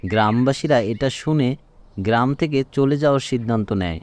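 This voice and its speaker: A man's voice: medium pitch, moderate pace, a little mellow, a little hiss or hum. A man reading scripted narration for a children's cartoon story.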